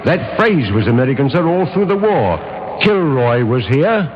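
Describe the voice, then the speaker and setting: Man acting an animated radio police sergeant, echoey. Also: mid-range voice, normal speed, lightly acted. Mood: eager, speculating. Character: eager, deferential male police sergeant. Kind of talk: 1950s radio drama acting. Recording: muffled echoey old radio, music behind.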